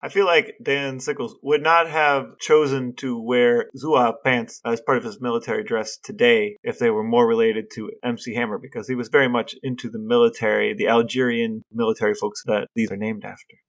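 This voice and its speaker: A man co-hosting a conversational podcast, speaking in a deadpan, mock-serious way. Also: mid-range voice, normal speed, hardly any pauses, poor audio. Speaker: podcast co-host. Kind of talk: conversational podcast talk and interviewing.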